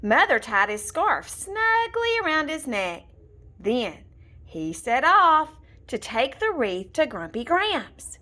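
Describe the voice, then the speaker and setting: A woman reading a children's storybook aloud in an expressive, deliberate storytelling manner. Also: high voice. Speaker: storybook reader. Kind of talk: scripted children's story narration, read aloud.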